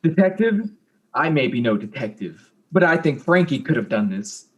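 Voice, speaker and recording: A man's voice: mid-range voice, sing-song, moderate pace, natural voice. A man playing a timid, eager detective's helper in his thirties, hesitant, in a comic audio drama. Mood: tentative. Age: 30s.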